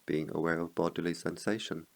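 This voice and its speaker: A man leading a guided meditation as a teacher, speaking in slow, measured phrases with long pauses. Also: deep voice, steady tone, creaky.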